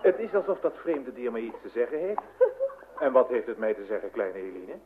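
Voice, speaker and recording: An actor playing an eager, impatient young son who writes radio plays, in an excitable radio comedy style. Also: male voice, mid-range voice, fairly quick, natural voice. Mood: insistent. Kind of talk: old radio comedy-drama acting. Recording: tinny, noisy old radio recording.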